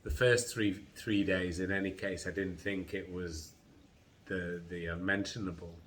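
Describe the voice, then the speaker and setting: A middle-aged man with a congested head and groggy chest, slowly and haltingly describing his illness in a personal vlog. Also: low-pitched, fairly even intonation, fairly bright tone, a little hiss or hum. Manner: slow, halting, tired recounting. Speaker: vlogger.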